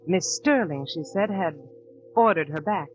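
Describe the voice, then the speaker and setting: Earnest young teacher, old radio sound. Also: female voice, on the low side, expressive, a little slow. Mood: reflective, indignant. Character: young woman teacher, earnest and indignant, narrating her story. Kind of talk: 1940s radio acting. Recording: dull restored 1940s radio recording, music behind.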